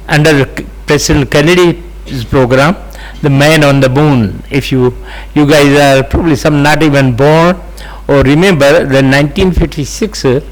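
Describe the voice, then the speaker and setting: A man in his eighties, native Sindhi speaker with an Indian accent, telling his life story as an interview guest, halting with many fillers and gentle chuckles. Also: on the high side, rough recording. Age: 80s.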